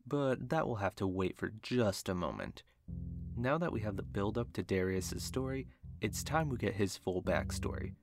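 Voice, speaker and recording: Man, deep voice, gravelly fry, scripted history narration, wry asides, guitar music bed. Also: lively intonation, moderate pace. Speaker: podcast host.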